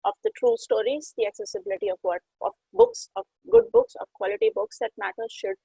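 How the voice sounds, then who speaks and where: Gravelly, husky woman panelist. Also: brisk, no room sound, low-quality recording. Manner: animated, with sharp pitch leaps. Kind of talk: panel discussion.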